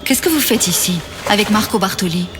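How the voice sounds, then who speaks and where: A woman's voice: medium pitch, lively intonation, a little fast, music in the background, close to an everyday voice. An actress playing a direct, wary young adventurous woman, matter-of-fact and questioning, in a dubbed video game cutscene. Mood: wary, probing.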